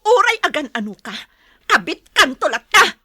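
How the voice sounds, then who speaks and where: A woman's voice: sits high, very animated, normal speed, pushed and bright, no room sound, clean studio sound, exaggerated voice. A woman playing a betrayed, anguished wife with a fierce temper in a radio-style melodrama, emotional and confrontational. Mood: enraged.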